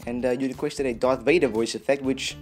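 A man's voice: medium pitch, steady tone, brisk. A young man presenting a casual tutorial in a jokey, self-deprecating, chatty way. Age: young adult. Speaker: host of a video effects tutorial channel. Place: small dim room indoors.

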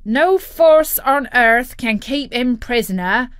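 A woman voicing a pirate crewman carefully reading aloud in a measured, declamatory way, in a children's storybook. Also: on the high side, animated, clean tone, no room sound. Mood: formal.

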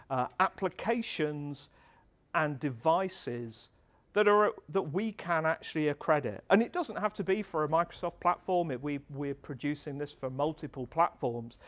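Middle-aged man giving a tech talk. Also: on the high side. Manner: conversational, earnest, gestures while presenting. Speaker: speaker at a tech meetup. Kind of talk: conference talk. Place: large dark event hall.

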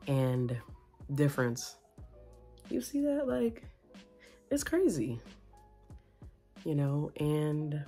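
A young woman chats to her followers from a small room at home, recorded on a phone. Her voice sits very low with a husky edge, and she rambles playfully, clearly tired late at night. Faint music plays underneath. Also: darkish tone. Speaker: vlogger. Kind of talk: casual late-night vlog chat. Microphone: phone on tripod.

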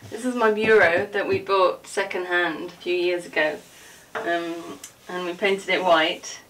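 A woman's voice: fairly low pitch, fairly even intonation, measured pace, fairly bright tone. A woman in her forties chatting casually and informally as a vlogger, with rambling asides as she moves about a room at home. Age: 40s. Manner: informal, rambling asides while moving about showing things. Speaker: vlogger giving a room tour. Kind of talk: casual chatty vlog talk. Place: indoors, small home dressing room. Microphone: camera a few feet away. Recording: distant camera mic, background noise and clicks.

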